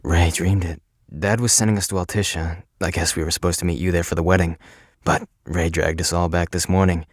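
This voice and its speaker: A man's voice: sits low, little pitch movement, fairly quick, dry recording, clean studio sound. A man playing a casual, sullen young prince who is stubbornly loyal, in an audio drama. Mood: casual, wary. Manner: casual, slangy, incredulous. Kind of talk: audio drama acting and first-person narration.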